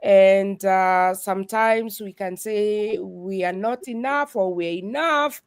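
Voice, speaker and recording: A woman's voice: medium pitch, takes it slowly, smooth, no echo, studio quality. A woman from Kenya, a guest sharing her faith and business story in an earnest, testimonial way. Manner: earnest, testimonial, with soft laughs. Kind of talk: faith-based conversation.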